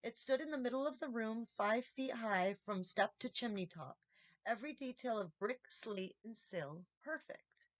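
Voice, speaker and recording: A woman reading a children's story aloud as an expressive audiobook narrator. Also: a little high, moderate pace, dry recording. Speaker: audiobook reader, channel host.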